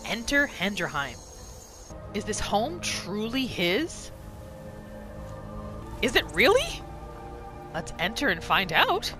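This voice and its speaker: A woman hosting a gaming roleplay series, giving expressive playthrough commentary and telling her character's story. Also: mid-range voice, slow, pauses often, over music. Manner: expressive, exclamatory reactions.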